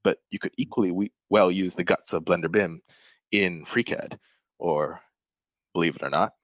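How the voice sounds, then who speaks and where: A man's voice: low voice, moderate pace, no echo, muffled recording. A man from Malaysia, a podcast guest, explaining things thoughtfully in casual talk, often restarting his sentences. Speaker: podcast guest, software developer. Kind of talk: casual interview chat.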